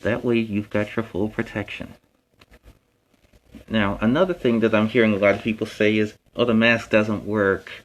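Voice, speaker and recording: A man's voice: on the low side, normal speed, rough recording. A man hosting a stream, talking informally to viewers in an earnest, plain-spoken, emphatic way. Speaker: host of a costumed story time stream. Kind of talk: informal public safety talk to viewers. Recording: clear steady background noise.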